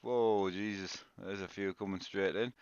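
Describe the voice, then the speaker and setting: A man's voice: low voice, steady tone, normal speed, clean tone, no room sound, muffled recording. A man with a cold, sounding tired, chatting casually to viewers on a live game stream and greeting them by name. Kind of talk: live-stream gameplay chat. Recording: loud background noise.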